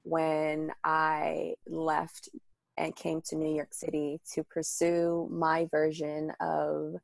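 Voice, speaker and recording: A woman's voice: low-pitched, monotone, slow, creaky, dry recording. A young woman from the Washington DC and Maryland area, telling her life story in a casual interview, chatty and full of detail. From United States, Washington DC and Maryland area. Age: young adult. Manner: chatty, detailed storyteller with frequent ums and laughs. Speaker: radio show host and guest.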